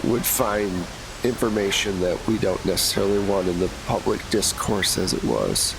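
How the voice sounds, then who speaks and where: A man's voice: fairly low pitch, expressive, normal speed, creaky, voice pushed down. A man voicing a worried male project lead at an excavation, speaking hesitantly over a noisy line. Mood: cautious. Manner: cautious, hesitant. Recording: noisy hiss.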